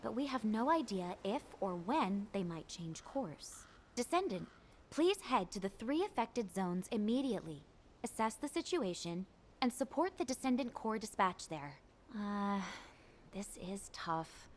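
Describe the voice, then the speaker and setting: A woman's voice: mid-range voice, big pitch swings, a little slow, a little hiss or hum. A woman voicing an earnest, caring military commander giving formal, composed mission briefings in a video game. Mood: worried, confiding.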